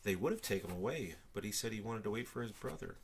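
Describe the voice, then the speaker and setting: Older man, lowish voice, storyteller, expressive children's book narration. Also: fast talker, a little hiss or hum.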